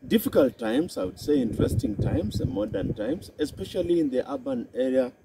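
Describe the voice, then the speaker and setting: A man with a Kenyan accent, a health and psychology specialist, giving a slow, deliberate talk to camera outdoors in a city. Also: sing-song, background noise. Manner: slow and deliberate, with a swooping emphatic pitch.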